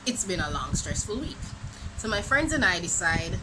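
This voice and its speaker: A young woman from Jamaica with a Jamaican accent, telling a dramatic storytime for her vlog in an animated, exclamatory way. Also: on the low side, normal speed. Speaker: vlogger. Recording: noisy background ambience.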